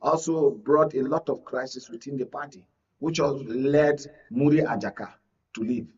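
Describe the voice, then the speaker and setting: A man's voice: mid-range voice, moderate pace, a little mellow, husky. Distant, muffled Nigerian man analysing politics. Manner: explanatory, often checks listener understands. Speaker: media analyst, studio or call-in guest. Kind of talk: political analysis in live discussion.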